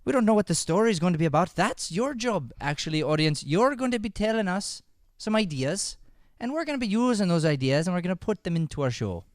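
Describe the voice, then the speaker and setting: Lively man hosting, clean close sound. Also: sits high, fast talker, no echo. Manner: chatty, encouraging audience address. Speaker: improv show host. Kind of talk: informal live show introduction. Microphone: podcast microphone.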